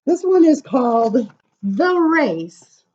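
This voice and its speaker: A woman reading poems aloud to children as a storytime librarian, with animated, sing-song emphasis and casual asides. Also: high-pitched, slow pace, breathy edge, highs cut off.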